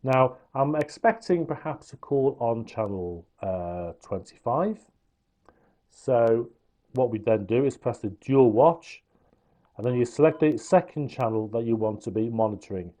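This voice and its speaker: A man's voice: mid-range voice, expressive, measured pace, dark tone. A man explaining how a gadget works step by step, in an informal hands-on review style. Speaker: product reviewer.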